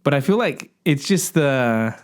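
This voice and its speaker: A man's voice: mid-range voice, lively intonation, a little slow. A man co-hosting a podcast, talking through things in casual discussion. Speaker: podcast co-host.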